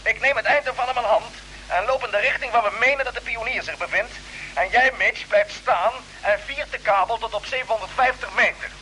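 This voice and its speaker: A space captain in a vintage radio serial, his voice high and sharp over a noisy old recording. He stays brisk and reassuring under pressure, sounding sure of himself. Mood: urgent, determined. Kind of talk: old Dutch radio drama acting.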